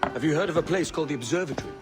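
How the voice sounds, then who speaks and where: An actor playing a young, ambitious pirate in a video game, brash and bantering with a roguish wit. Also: male voice, on the high side, animated, rapid-fire, over loud music, close to an everyday voice. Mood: eager. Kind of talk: naturalistic video game acting.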